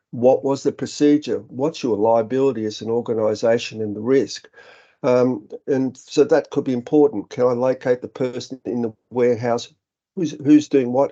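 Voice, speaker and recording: A middle-aged man with an Australian accent talks through a business webinar in an earnest, explanatory way, often pausing on ums and restarting words. His voice is mellow and dark, and the sound is muffled and lo-fi, as if from a computer mic on a video call. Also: medium pitch. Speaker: business director presenting a webinar. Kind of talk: webinar presentation. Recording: dull, slightly lo-fi webinar audio.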